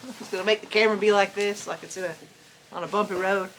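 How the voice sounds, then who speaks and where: A woman's voice: mid-range voice, steady tone, quick pace, a bit bright, slight background noise, low-quality recording. A woman reading a storybook aloud in a folksy, playful way, with chatty asides.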